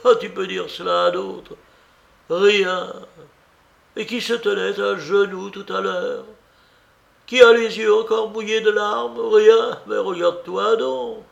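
An agitated old woman, acted out by a male reader, pitched well up with a gravelly edge. She is a blunt, loving storybook aunt who scolds and fusses, repeating her words with emphasis. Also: steady tone, slow, muffled recording, exaggerated voice. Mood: scolding, exasperated. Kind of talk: dramatised audiobook reading.

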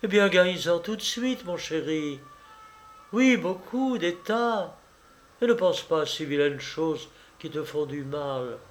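A man reading aloud and voicing a capricious, tearful young woman who coaxes her lover for money, in a slow storybook reading. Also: a little high, lively intonation, a little hiss or hum, voice pushed up. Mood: coaxing. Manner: pleading, wheedling, sobbing.

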